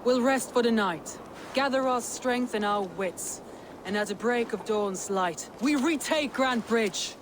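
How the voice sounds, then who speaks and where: A woman's voice: a little high, a little slow, noisy background, natural voice. A woman playing a proud, resolute Viking war leader in a video game, speaking in an earnest, stately, commanding way. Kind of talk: dramatic video game acting.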